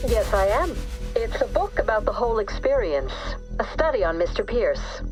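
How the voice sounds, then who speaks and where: A guarded psychologist, speaks with lively pitch over music. She is careful and self-assured, like a TV interview guest in a game. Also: normal speed, low-quality recording. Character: guarded female psychologist and author being interviewed.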